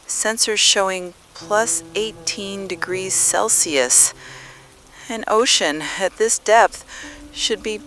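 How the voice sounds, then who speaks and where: A woman playing a desperate, awestruck deep-sea explorer sending a log in fragmented phrases in a radio drama. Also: fairly bright tone, a little hiss or hum. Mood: awed. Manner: fragmented, very slow delivery.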